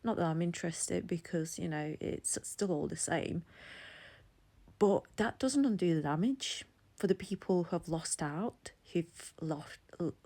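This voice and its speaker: Woman, forties, deep low voice, chatty candid vlog talk. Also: measured pace, dark tone. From Latvia. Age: 40s. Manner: chatty, candid, laughs between thoughts. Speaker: vlogger. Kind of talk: casual personal commentary.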